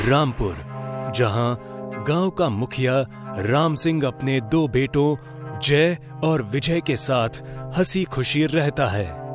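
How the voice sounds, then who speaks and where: Slow, dramatic male horror narrator. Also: mid-range voice. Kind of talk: scripted horror story narration.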